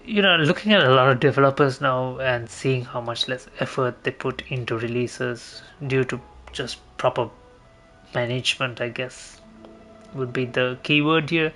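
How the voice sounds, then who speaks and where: A man's voice: medium pitch, fairly bright tone, a little hiss or hum. A man giving casual gameplay commentary as a streamer, rambling and hesitant, often repeating himself. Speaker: game streamer.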